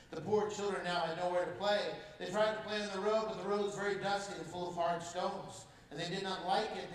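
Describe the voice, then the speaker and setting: A man reading a storybook aloud to a church congregation as guest speaker, telling it expressively and without hurry. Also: sits high. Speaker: guest speaker at a parish mission. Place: large church. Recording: echoey church heard from far off.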